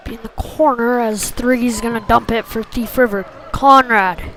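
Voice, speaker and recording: A woman giving live hockey play-by-play in short clipped phrases, excitable on big plays. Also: on the high side, unhurried, a little hiss or hum. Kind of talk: live sports commentary.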